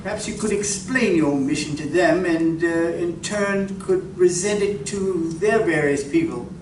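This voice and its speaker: A man's voice: high-pitched, unhurried. A man playing an earnest, inquisitive scientist in a radio drama, with a careful, questioning and slightly hesitant delivery. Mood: cautious, questioning. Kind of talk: live radio drama acting. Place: library community room, live performance. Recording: echoey room from far off, noisy.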